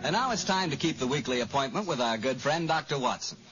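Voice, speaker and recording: A man's voice: medium pitch, expressive, quick pace, highs cut off, music in the background. A man announcing in polished, salesmanlike 1940s radio style on an old recording. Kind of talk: scripted 1940s radio commercial and hosting.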